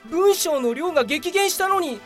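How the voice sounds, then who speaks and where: A woman voicing a meek, excitable young male office worker in a radio drama, earnest and hesitant. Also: extremely high pitch, moderate pace, faint music behind. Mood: amazed. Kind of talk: Japanese radio drama acting.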